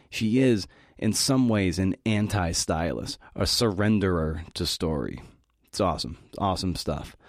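Male podcast host, deep voice, very dry sound, conversational scripted talk with wry, keen asides. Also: a little mellow, no echo.

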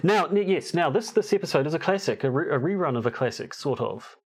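A man co-hosting a relaxed podcast conversation. He speaks wryly and haltingly, restarting his sentences often as he finds his way into a topic. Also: on the high side, slightly rough. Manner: hesitant, many fillers and restarts, wry. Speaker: podcast co-host. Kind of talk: casual chat.

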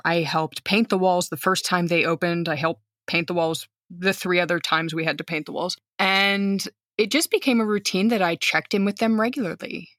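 An outgoing American woman in her thirties tells a story in relaxed conversation, with a voice sitting slightly low for a woman. She acts out the people she quotes. The sound is close and dry. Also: no room sound. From United States. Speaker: guest, teacher.

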